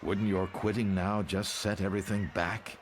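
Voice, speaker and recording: A man's voice: lowish voice, fairly even intonation, moderate pace, a little hiss or hum, natural voice. A man playing an earnest, loyal follower in a motion comic, polite but questioning. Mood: earnest, respectful. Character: earnest, loyal young man challenging his mentor.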